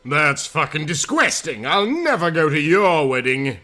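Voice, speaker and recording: A man's voice: on the high side, very animated, unhurried, bright, forceful tone, soft background music, exaggerated voice. Man acting a melodramatic, anguished young vampire girl. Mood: disgusted, defiant. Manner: melodramatic, foul-mouthed outbursts. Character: anguished, furious young vampire girl, hot-tempered heroine. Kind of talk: dramatic amateur story reading.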